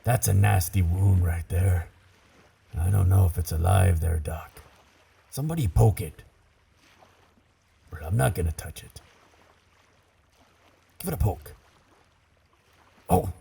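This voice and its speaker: A man playing a gruff, curious werewolf pirate captain in an audio roleplay, with a low gravelly voice, a stage pirate accent and slow, musing seafaring talk. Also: dark tone, exaggerated voice. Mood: baffled, wary.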